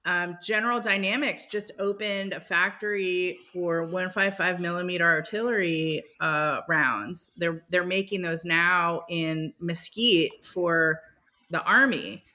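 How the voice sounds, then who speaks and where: A woman's voice: fairly low pitch, a little slow, sharp tone. A woman, a guest in a casual interview, speaking thoughtfully with frequent hesitations. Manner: thoughtful, many ums and hesitations. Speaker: venture capital guest.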